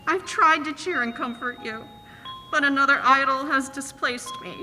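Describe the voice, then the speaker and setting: A woman's voice: sits high, normal speed, pushed and bright. An actress playing a sorrowful, tender young woman in a 1940s-style radio play, with an earnest, theatrical delivery. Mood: wounded, reproachful. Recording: reverberant hall, faint music.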